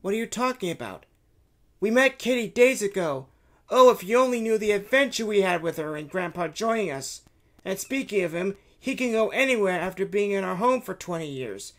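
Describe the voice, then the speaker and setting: A man voicing an eager young boy excitedly recounting an adventure in a raised voice. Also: high voice, normal speed, pitched above the performer's own voice. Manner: excited, breathless storytelling.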